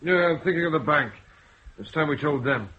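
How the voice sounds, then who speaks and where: An actor playing a grumbling, impatient senior police officer in a radio drama. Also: male voice, fairly high pitch, animated, fairly quick, natural voice. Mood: gruff. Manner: gruff, grumbling. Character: gruff impatient senior male police chief. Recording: muffled old radio broadcast.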